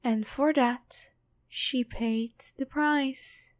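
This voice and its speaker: A sly female demon in a stolen girlfriend's body, played by a woman for an ASMR roleplay. The voice is high and feminine, taking its time over each phrase. The delivery is intimate and teasing, with a taunting edge. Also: fairly even intonation, extremely slow. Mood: smug, menacing. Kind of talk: ASMR roleplay, addressed to the listener.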